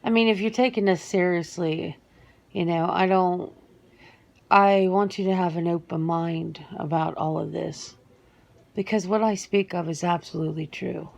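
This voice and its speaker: A woman playing a guarded, earnest werewolf answering interview questions in an ASMR roleplay, speaking haltingly and matter-of-factly. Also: lowish voice, fairly even intonation, vocal fry, a little mellow. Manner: hesitant, matter-of-fact, halting with pauses.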